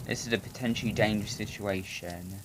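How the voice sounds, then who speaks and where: A man's voice: fairly low pitch, steady tone, fairly quick, noisy background, natural voice. A man playing an urgent emergency weather announcer. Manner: urgent, stumbling warning read with restarts. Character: male emergency broadcast announcer, stern and urgent.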